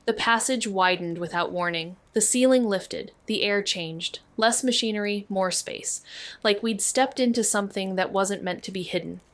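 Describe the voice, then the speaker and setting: A woman playing a moody, wry young noir narrator with a terse, deadpan delivery in an audio drama. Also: medium pitch, moderate pace, dry recording, lightly acted. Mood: brooding. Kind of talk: scripted noir narration and dialogue.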